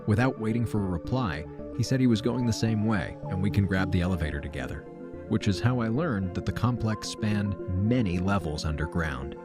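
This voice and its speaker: A man playing a weary, reflective narrator telling his story in measured audio drama narration. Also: fairly low pitch, lively intonation, natural voice. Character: weary male narrator recounting meeting his double. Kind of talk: scripted first-person audio drama narration. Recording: loud music under the voice. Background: music.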